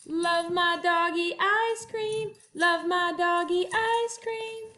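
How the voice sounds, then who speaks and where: Woman, high voice, slow pace, first-grade teacher, children's read-aloud, expressive drawn-out phrasing. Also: soft background music. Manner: expressive, drawn-out storytelling with sung refrains. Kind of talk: warm-up chat and animated picture-book read-aloud for young children. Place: at home.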